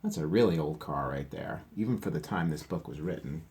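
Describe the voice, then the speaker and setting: A man's voice: extremely low pitch, expressive, fairly quick, a little mellow, breathy edge. A male teacher reading a picture book aloud to children, stopping for chatty asides and sometimes dropping to a whisper.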